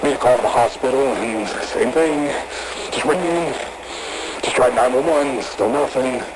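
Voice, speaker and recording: A man acts out a scared, weary construction worker in a found-footage horror tape. His mid-pitched voice halts and stumbles through rough, sweary talk. It comes over a radio, buried in loud noise. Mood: shaken, bewildered. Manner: halting, swearing, colloquial. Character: frightened, worn-down construction worker reporting on a radio. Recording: very noisy radio transmission.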